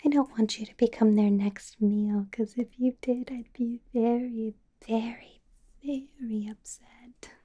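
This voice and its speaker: A woman acts out a female vampire boss in an ASMR roleplay, whispering in a soft, dark, breathy voice. She is possessive and doting, coaxing the listener with an undercurrent of menace. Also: on the high side, steady tone. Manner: slow, coaxing, with small chuckles and pauses.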